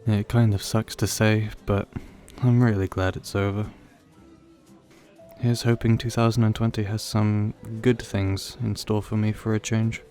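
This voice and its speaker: Man acting awkward, wistful young guy, mellow voice. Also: lowish voice, little pitch movement, a little slow, faint music behind, natural voice. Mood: weary, downcast. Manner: hesitant, rambling, self-deprecating. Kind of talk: audio roleplay, conversational acting addressed to listener.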